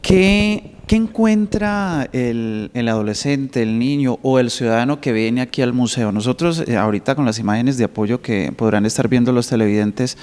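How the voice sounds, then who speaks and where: Tv interviewer guy, middle pitch, asks long rambling questions, echoey room. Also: normal speed, few pauses, a little mellow, smooth.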